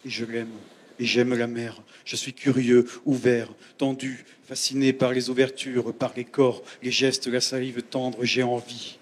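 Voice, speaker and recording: A man reading his own poetry on stage, chanting short, repeated phrases with pauses between them. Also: medium pitch, level tone. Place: theatre stage at a festival. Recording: noisy live stage recording with ambience.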